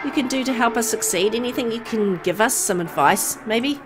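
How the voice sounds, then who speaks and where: A woman's voice: a little high, voice pushed up, lightly acted. A woman voicing a timid, hesitant young girl in a visual novel, speaking tentatively. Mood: timid, hopeful. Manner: tentative, halting. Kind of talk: visual novel dialogue read aloud.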